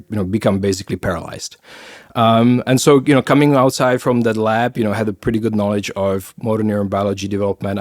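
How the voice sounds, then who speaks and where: A man's voice: on the low side, a little mellow. A middle-aged man from the Czech Republic with a Czech accent, explaining science in a podcast interview with many fillers and restarts. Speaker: biotech founder.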